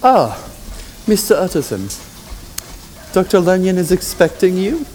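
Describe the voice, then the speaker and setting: A Victorian manservant in an audio drama. His voice is dark and mellow, formal and deferential, in a reverberant hall with faint noise behind. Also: high voice, sing-song, measured pace. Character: deferential male Victorian manservant, stiffly proper. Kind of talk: period audio drama acting.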